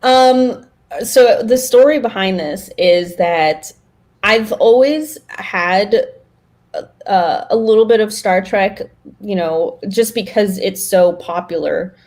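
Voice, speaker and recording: Woman, medium pitch, podcast co-host, casual conversational delivery. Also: slow, darkish tone.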